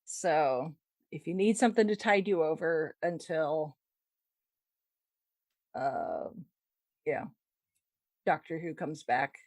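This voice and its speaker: A woman's voice: sits low, expressive, takes it slowly, pauses often, a little mellow, no room sound. A woman hosting a podcast, reading out fandom news in a casual, wry and playful way with chuckles. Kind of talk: casual chat.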